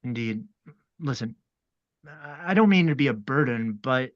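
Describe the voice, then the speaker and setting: A polite but sinister ritual killer from a horror script played by a man. His mid-pitched voice is measured and formal, calmly threatening, on a dry, slightly muffled lo-fi recording. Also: dry recording, natural voice. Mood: politely sly. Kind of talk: horror script table read.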